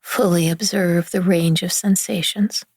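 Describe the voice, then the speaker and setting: Husky, low-voiced woman guiding relaxation. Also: fairly even intonation, dry recording. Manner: soothing, gentle, hushed delivery with long pauses. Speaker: yoga nidra meditation guide. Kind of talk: guided relaxation meditation.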